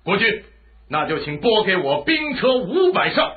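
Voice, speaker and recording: Loyal ancient chinese general, radio drama, super animated and earnest, phone-like audio. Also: male voice, sits high, moderate pace. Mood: resolute. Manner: earnest, emphatic, formal. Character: loyal, battle-scarred general counselling his lord.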